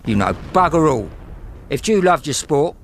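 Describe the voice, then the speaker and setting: Rough young London working-class soldier. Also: male voice, fairly high pitch, very animated, moderate pace, a little hiss or hum. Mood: angry. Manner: blunt, slangy, combative when provoked. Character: tough, touchy working-class London soldier, football-mad. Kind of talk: audio drama acting.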